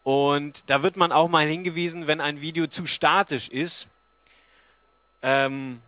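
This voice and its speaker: Man, thirties, informal presenter, explanatory, crisp bright voice, little room echo. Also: fairly high pitch, steady tone, a little slow. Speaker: speaker giving a talk and taking questions. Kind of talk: informal public presentation with audience Q&A. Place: stage at a book fair forum. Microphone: handheld-type microphone on a stand.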